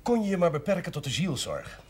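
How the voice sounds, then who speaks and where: An actor playing a genial, authoritative parish priest, paternal and persuasive, in a 1970s Dutch television drama. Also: male voice, a little high, sing-song, quick pace, slight background noise. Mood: firm, persuasive.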